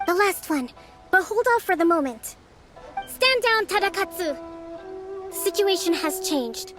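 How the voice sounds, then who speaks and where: An actress voicing a calm, composed young female leader who negotiates diplomatically and gives measured orders. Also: very high voice, music in the background.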